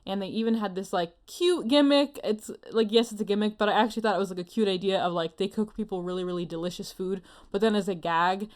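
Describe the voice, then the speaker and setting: A woman's voice: mid-range voice, fast, hardly any pauses, darkish tone. Female podcast co-host, mid-range voice, giggly and chatty, casual conversation. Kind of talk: casual chat.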